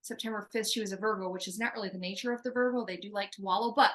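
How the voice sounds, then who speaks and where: A woman's voice: medium pitch, fairly even intonation, very fast, fairly bright tone. A woman working as a medium, relaying a spirit's answers in casual talk, hesitant and full of fillers. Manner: hesitant, filler-heavy, relays in third person.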